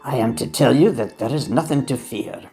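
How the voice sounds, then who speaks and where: An actor playing a dutiful, plain-spoken railway servant in a Victorian audio farce, with homely, rustic speech. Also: male voice, mid-range voice, normal speed, mellow, faint music behind, natural voice. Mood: patient, put out. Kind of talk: period farce audio drama acting.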